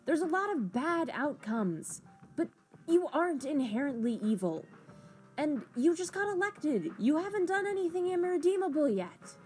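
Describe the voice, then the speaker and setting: A woman voicing a teasing cat-like sprite in an audio comic drama, drawling slowly as she patiently persuades. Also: high-pitched, very animated, a little mellow, soft background music, voice pushed up. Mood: earnest, coaxing. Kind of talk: hobby voiced comic drama.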